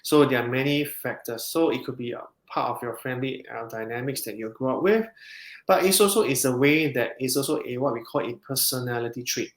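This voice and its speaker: A man, a counselling psychologist, explaining in a measured way in a podcast interview, with frequent restarts. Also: medium pitch. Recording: roomy echo.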